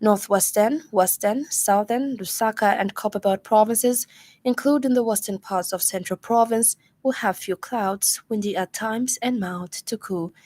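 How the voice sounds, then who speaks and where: A woman's voice: mid-range voice, measured pace, darkish tone. A woman news reader with a Zambian accent delivers a scripted radio bulletin. She speaks into a studio microphone in a formal bulletin style. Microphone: studio broadcast microphone.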